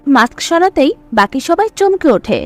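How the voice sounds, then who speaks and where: High, animated female story narrator. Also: normal speed, faint music behind.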